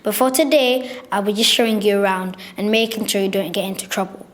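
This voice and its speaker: A woman's voice: medium pitch, a little fast, natural voice. A young girl playing Firewall, a friendly, careful and reassuring guide to the internet in a school radio play. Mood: friendly, informative. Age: child. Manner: reassuring, explanatory.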